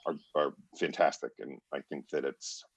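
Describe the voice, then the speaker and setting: Deep, husky man thinking aloud. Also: moderate pace, no room sound. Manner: rambling, searching for words. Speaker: actor in a stage play. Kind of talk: unscripted panel discussion.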